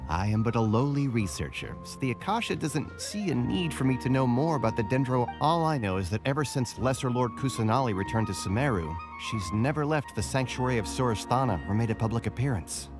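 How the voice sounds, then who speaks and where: An actor playing an affable, sly academic researcher in a video game, talking in a wordy, mock-humble way. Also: male voice, medium pitch, expressive, a little fast, hardly any pauses, close to an everyday voice. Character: glib, self-deprecating male academic researcher, affable and sly. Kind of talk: video game character acting. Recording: background music.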